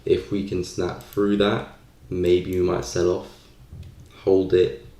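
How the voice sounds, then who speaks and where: Man, very low pitch, dark mellow tone, casual live-stream host, hesitant delivery, echoey room. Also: completely flat delivery, slow pace. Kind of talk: casual live market commentary. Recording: roomy, echoey sound.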